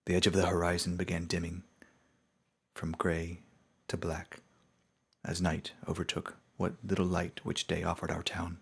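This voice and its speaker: A man giving a dramatic, scripted narration of a story in a solemn, measured storytelling manner. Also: deep voice, monotone, gravelly, breathy edge.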